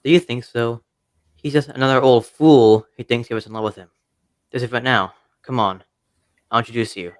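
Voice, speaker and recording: An actor playing a petulant, jealous young English aristocrat, curt and sulky, in a radio drama. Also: male voice, mid-range voice, moderate pace, no room sound, natural voice. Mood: resentful.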